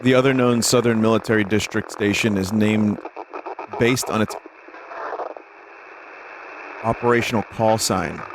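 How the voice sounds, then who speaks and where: A man's voice: lowish voice, steady tone, a little slow, long pauses, background noise. A man hosting a livestream, reading text aloud and commenting casually. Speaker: streamer and host.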